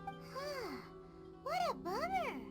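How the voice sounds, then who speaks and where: An actress voicing a tiny childlike fairy companion in a video game, squeaky and exclamatory, blunt, impatient and cheeky. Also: female voice, sing-song, takes it slowly, heavily acted. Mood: curious. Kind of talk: video game character acting. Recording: loud music behind the voice.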